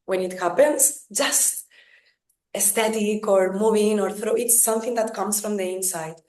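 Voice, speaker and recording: A woman from Spain speaks as a podcast guest. She is emphatic and animated, pressing her points with "come on" and "right?" Her mid-pitched voice carries a noticeable room echo. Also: a little slow. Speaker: guest dancer. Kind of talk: casual conversational interview. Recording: echoey room sound.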